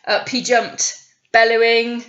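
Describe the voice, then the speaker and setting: Bright-voiced British woman telling children stories. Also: on the high side, slow, muffled recording. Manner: questioning, coaxing, expressive. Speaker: teacher reading to young children. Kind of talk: animated children's storytelling with asides.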